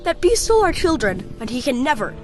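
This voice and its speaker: A woman's voice: sits high, very animated, fairly quick. Lady doing a earnest little boy in a fantasy tale, lilting, music playing behind. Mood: wistful, earnest. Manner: earnest, childlike phrasing. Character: eager, polite young boy with adventurous spirit. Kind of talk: dramatised fantasy audio story.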